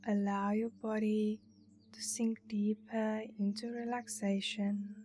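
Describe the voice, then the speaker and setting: A woman narrating a guided meditation in a soothing, hushed manner with breathy phrase endings. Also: mid-range voice, level tone, takes it slowly. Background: meditation music.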